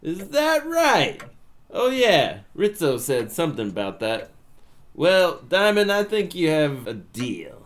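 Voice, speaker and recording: Man acting a drawling, lively gangster boss. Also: fairly high pitch, slow, exaggerated voice. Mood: relaxed, expansive. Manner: slangy, drawling wiseguy patter. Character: smooth-talking male 1920s gangster boss, genial but menacing. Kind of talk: period noir radio drama acting.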